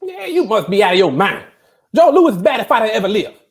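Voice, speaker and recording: A man playing a contentious, loud old barber who argues emphatically in slangy speech. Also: sits high, big pitch swings, quick pace. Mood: indignant.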